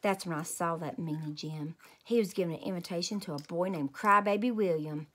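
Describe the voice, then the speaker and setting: A woman reading a storybook aloud as a children's host, expressive and talking to young listeners. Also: fairly low pitch, fairly quick. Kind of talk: animated storybook read-aloud.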